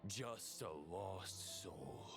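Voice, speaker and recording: An actor playing a proud, scornful ancient knight in a video game, speaking in a grandiose, archaic and deliberate manner. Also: male voice, low voice, animated, exaggerated voice. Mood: challenging, grave. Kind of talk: dramatic video game voice acting. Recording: loud music under the voice. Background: loud music.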